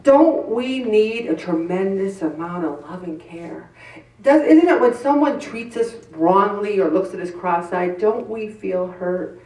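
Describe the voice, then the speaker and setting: A woman's voice: mid-range voice, expressive, a little slow, a little mellow. A middle-aged woman giving a candid, self-deprecating faith-based talk to a group, acting out her own inner voices. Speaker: group speaker. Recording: roomy echo from some distance.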